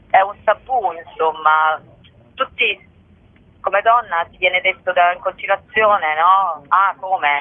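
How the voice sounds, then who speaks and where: A woman speaking as a guest in a radio interview, explaining her work in a reflective, halting way with frequent rephrasing. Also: on the low side, no room sound. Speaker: playwright and actress, interview guest. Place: remote phone call to a radio show. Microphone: telephone. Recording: tinny, band-limited telephone line.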